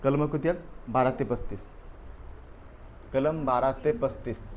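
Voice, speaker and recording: A man's voice: medium pitch, a little slow, a little mellow. A man leads a classroom exam review. He drills the answers by saying them again and again and keeps questioning his students. Faint room noise sits behind his voice. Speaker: teacher.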